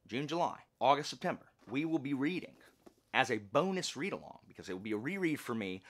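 Animated, low-voiced man chatting casually. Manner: animated, folksy, jokey, self-interrupting. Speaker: book channel host. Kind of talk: casual vlog chat.

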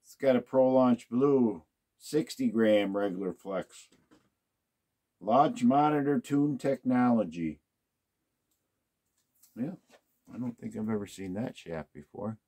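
Man, unhurried, mellow low voice, casual unboxing chatter, thinking out loud. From United States. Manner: relaxed, musing aloud, hums to himself. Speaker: golf club unboxing host.

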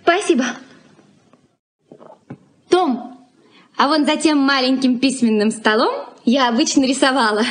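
An actress playing a sweet young bride-to-be in a radio play, speaking politely and soothingly. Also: female voice, sits high, unhurried, long pauses. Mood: polite, admiring. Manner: polite, conciliatory. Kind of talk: Soviet radio play acting.

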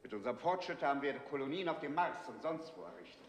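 An actor playing an older scientific adviser, articulate and dryly ironic, in a 1960s science fiction TV drama. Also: male voice, on the high side, animated, fast talker, close to an everyday voice. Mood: dryly critical. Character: calm, ironic older scientific adviser opposing military action. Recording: reverberant set, faint noise.

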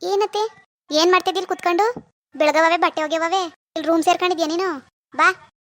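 Woman as a loud, bossy rural mother-in-law. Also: very high-pitched, steady tone, quick pace. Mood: scolding. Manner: brusque commands in rustic speech. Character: scolding, bossy but easily softened rural mother-in-law. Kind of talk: amateur domestic drama acting.

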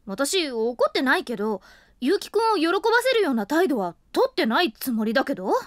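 An overworked office woman, expressive and a little flustered. Her high voice swings widely, and she sounds self-deprecating and chatty. The recording is close and dry. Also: a little fast, dry recording. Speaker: voice actress and narrator of the story. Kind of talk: voice drama acting with inner narration. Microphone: close studio mic.

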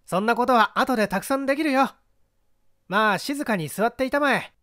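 A woman's voice: on the high side, brisk, fairly bright tone, dry recording, studio quality, close to an everyday voice. A woman playing a clever, composed teenage boy detective, polite and self-assured, in an audio mystery story. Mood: polite, firm.

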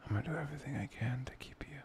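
A young man playing a soft, playful, devoted boyfriend in an intimate ASMR roleplay, breathy, hesitant and often near a whisper. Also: mid-range voice, racing, a little hiss or hum, lightly acted. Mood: tender, reassuring.

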